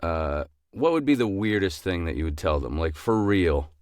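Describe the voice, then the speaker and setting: A man co-hosting a podcast, chatting casually and playfully and acting out quick asides. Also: low-pitched, very animated, darkish tone, dry recording, clean studio sound. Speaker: podcast co-host.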